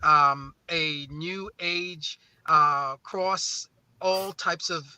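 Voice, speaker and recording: Guy with a really bright forceful high voice, chatting in an interview, gushy, keeps restarting his phrases. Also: fairly even intonation, extremely slow, clean tone, no room sound. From St. Croix and New Orleans. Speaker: music artist, interview guest. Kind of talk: casual interview chat.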